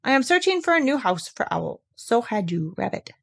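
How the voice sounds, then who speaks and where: Woman voicing a brisk, bossy rabbit, animated pitch. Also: vocal fry, full character voice. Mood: matter-of-fact. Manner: brisk, practical, correcting. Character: brisk, bossy, matter-of-fact male rabbit. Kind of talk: children's storybook read aloud.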